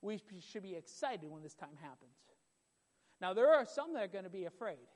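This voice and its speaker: A man preaching a sermon with a loud voice, emphatic and repetitive, building in intensity. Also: high voice, sing-song, moderate pace. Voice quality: loud voice at times. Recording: long hall reverb.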